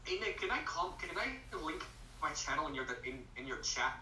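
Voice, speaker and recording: A young man in casual, halting chat as a guest on a friend's voice-call live stream. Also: a little high, animated, bright, forceful tone. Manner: rambling, halting, restarts phrases. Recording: echoey room, far from the mic.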